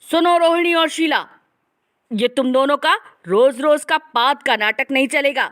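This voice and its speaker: A woman playing an angry elderly father-in-law, a stern household head scolding loudly in a family comedy story. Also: high voice, a little slow, voice pushed up. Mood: furious. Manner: scolding, loud. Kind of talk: acted dialogue in a Hindi family comedy story.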